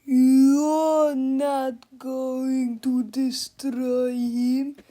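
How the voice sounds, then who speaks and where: A woman voicing a giant, bossy male villain in a read-aloud storybook, with a drawn-out, growling, domineering manner. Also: sits high, flat delivery, mellow. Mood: commanding.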